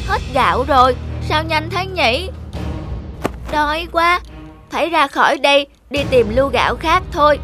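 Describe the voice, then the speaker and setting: A woman's voice: high-pitched, pitched above the performer's own voice. A woman voicing a greedy, lazy little male cartoon mouse who exclaims with self-satisfaction. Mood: panicked.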